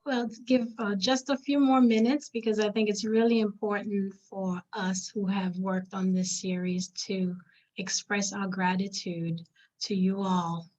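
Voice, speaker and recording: A woman whose native tongue is Haitian Creole, hosting an online panel and giving earnest, measured closing thanks with pauses. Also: medium pitch, fairly even intonation, a little mellow. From Haiti or Haitian diaspora. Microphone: video call. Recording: dull lo-fi video call audio.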